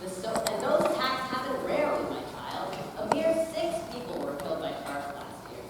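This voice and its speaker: A woman's voice: fairly high pitch, big pitch swings, moderate pace. A woman playing a pompous, indulgent upper-class parent in a theatrical stage comedy, enunciating dramatically. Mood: grave, lecturing. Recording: very noisy echoey hall, far off.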